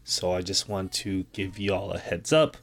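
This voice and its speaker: A man hosting a podcast, gently introducing the next guest. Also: low voice, expressive, unhurried, vocal fry, husky. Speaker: podcast host.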